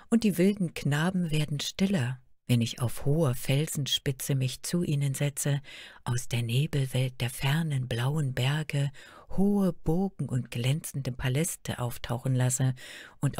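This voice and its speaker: A woman's voice: extremely low pitch, a little mellow, breathy edge, no echo, studio quality, heavily acted. A woman voicing a regal, motherly queen of fantasy, stately and soothing.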